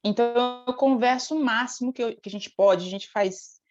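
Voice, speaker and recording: A Brazilian woman with a fairly high voice talks casually as a guest. She tells her stories in an animated, informal way. The sound is a little dull and lo-fi. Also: moderate pace, faint music behind. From Brazil, born in Rio de Janeiro state, raised in Paraná from age eight. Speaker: singer, interview guest. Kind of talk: casual chat, storytelling.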